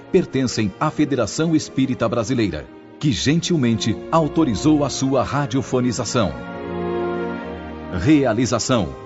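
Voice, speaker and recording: A man's voice: medium pitch, darkish tone. A Brazilian man reading formal scripted credits as a radio announcer. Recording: dull broadcast with faint music.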